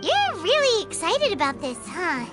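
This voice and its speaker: A very high, squeaky little fairy sidekick from a video game. She is excitable and bubbly, swinging widely in pitch, with prominent music playing underneath. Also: normal speed, exaggerated voice. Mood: teasing. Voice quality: squeaky, childlike. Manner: bubbly, exaggerated, refers to self by name. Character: tiny excitable childlike fairy companion, speaks in third person.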